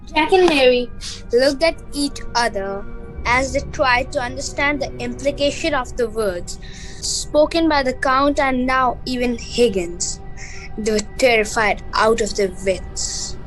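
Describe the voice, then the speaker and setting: A woman's voice: sits high, a little slow, low-quality recording. A woman giving scripted narration for a suspenseful radio play. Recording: music behind voice.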